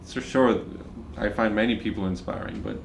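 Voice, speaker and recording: A young man from Venezuela, relaxed and easygoing, who laughs often as he talks. His voice sits low and has a gravelly edge. Faint ambience hums behind him. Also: moderate pace, a little hiss or hum, poor audio. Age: young adult. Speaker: volunteer. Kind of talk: casual conversation. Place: charity kitchen.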